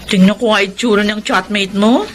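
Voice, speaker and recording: A woman's voice: mid-range voice, fairly even intonation, normal speed, a little hiss or hum, natural voice. A woman with a Filipino accent playing a teasing, meddling older sister figure in a radio drama. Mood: curious, teasing.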